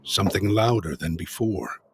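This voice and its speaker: A man giving a dramatic reading of a poem, with a slow, brooding, theatrical delivery that drops to hushed low passages. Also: background noise. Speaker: reader.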